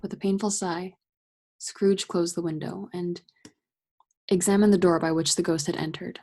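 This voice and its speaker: A woman narrating a ghost tale in a radio play with a breathy voice and measured, dramatic delivery. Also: lowish voice, fairly even intonation, background noise, close to an everyday voice. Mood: measured, eerie. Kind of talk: scripted narration.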